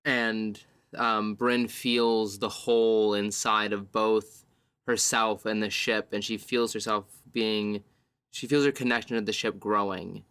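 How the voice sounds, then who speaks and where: A man playing a tabletop roleplaying game, describing scenes as he improvises them, piecing his sentences together in halting fragments. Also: on the low side, flat delivery, a bit bright, clean tone, dry recording.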